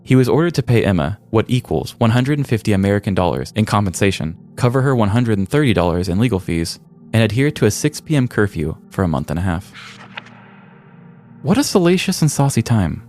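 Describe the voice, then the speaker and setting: A man's voice: lowish voice, sing-song, brisk, a little mellow, faint music behind. A male podcast host narrating a scripted, comedic true-crime story.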